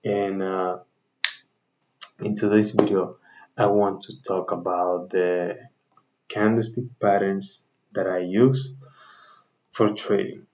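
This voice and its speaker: A man with a Dominican Spanish accent, Spanish his first language, walks his viewers through his trading in a relaxed, chatty way. His voice sits low and his pace is slow and deliberate, with frequent restarts and self-corrections. The recording sounds slightly dull and muffled. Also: a little hiss or hum. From Dominican Republic. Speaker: trading channel host. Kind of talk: casual screen-share trading explainer.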